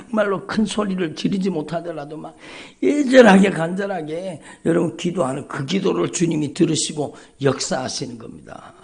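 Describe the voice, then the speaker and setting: A man's voice: a little high, normal speed, creaky, a little mellow. A male pastor preaching an emphatic, repetitive sermon at a dawn church service, calling out often to the congregation. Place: church sanctuary.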